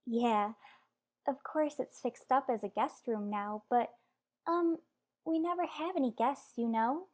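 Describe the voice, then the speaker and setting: A woman playing a gentle, affectionate wife in an old-time radio soap, speaking tentatively with halting phrases. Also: fairly high pitch. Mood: tentative, earnest. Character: gentle, hesitant wife longing to adopt an older boy.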